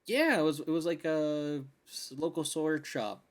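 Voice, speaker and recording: A man's voice: fairly high pitch, animated, measured pace. A man co-hosting a podcast, talking casually and emphatically with slangy words like "dude" and "like". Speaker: podcast co-host. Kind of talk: casual chat about games and films.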